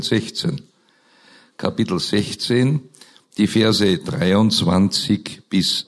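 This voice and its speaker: Male pastor, hoarse and scratchy, lowish voice, slow and earnest sermon delivery with emphatic rises. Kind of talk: sermon with prayer and scripture reading. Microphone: microphone.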